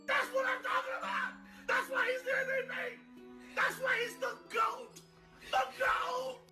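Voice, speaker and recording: A woman in a played clip, shouting with hyped excitement. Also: very high-pitched, measured pace, soft background music.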